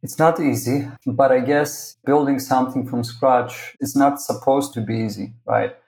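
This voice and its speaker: A man's voice: medium pitch, steady tone, moderate pace, creaky, a little mellow, low-quality recording. A man from Greece with a Greek accent, a podcast guest answering thoughtfully and often checking in with "right?". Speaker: agency founder, podcast guest. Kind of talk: conversational interview.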